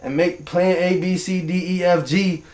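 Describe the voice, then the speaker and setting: A man's voice: sits high, steady tone, moderate pace, darkish tone. A young man from Turkey, raised in Michigan and New York, talking as a podcast guest in slangy, rambling casual speech. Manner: slangy, rambling, goes on tangents, laughs often. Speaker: guest, hip hop artist. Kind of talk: casual interview chat. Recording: roomy room echo.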